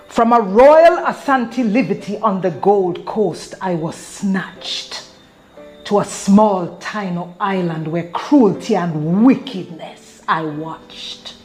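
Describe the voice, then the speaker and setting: A woman's voice: lowish voice, darkish tone. A woman reciting as a proud maroon queen. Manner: slow solemn recitation in verse.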